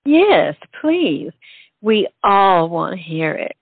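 A woman's voice: fairly low pitch, sing-song, takes it slowly, breathy edge, no room sound, full character voice. A woman playing a bossy, skeptical lady friend with a wry, teasing way of talking in a stage comedy. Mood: coaxing. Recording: tinny, narrow-band sound.

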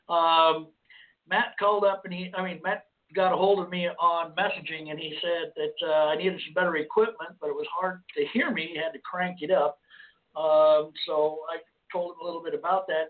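A hoarse man hosting a call-in radio show, talking casually and rambling with restarts. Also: high-pitched. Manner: rambling, with many restarts and fillers. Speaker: host. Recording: phone-like lo-fi line.

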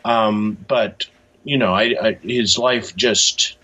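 A man's voice: on the low side, steady tone, slow pace, pushed and bright, husky. A man being interviewed as an author, reflecting in a hesitant, thoughtful way as he talks. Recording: dull, lo-fi sound.

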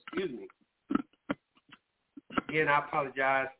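A man's voice: medium pitch, slow pace, heavy background noise. A man hosting a call-in radio show, giving loose, profane relationship advice, a little nasal and wheezy from allergies. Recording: phone-like lo-fi line.

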